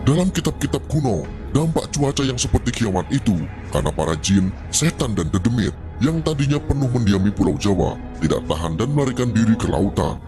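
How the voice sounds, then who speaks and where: A man's voice: medium pitch, very animated, brisk, over music. A man reading a scripted narration in the style of legendary storytelling.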